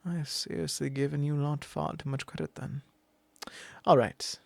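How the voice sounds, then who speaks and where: A man's voice: fairly high pitch, fairly even intonation, normal speed, vocal fry, dry recording. A man voicing an arrogant, possessive immortal vampire in an ASMR roleplay, talking down in a drawl and sometimes whispering close to the mic. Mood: mocking.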